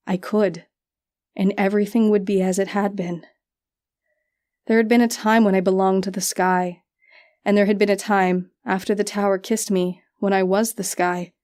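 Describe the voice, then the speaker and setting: Female audiobook narrator for literary fantasy; mid-pitched, dark, soft-toned delivery in the first person. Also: level tone, moderate pace.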